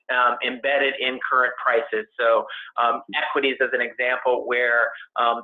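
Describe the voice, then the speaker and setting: A man giving a technical investment presentation on a conference call, speaking in a halting, hedging way as an analyst. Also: medium pitch. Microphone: conference call line. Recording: tinny phone-line conference call audio.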